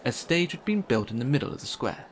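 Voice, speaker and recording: A man narrating a children's storybook aloud in an expressive read-aloud style. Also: mid-range voice, fast, a little hiss or hum. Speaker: storybook reader.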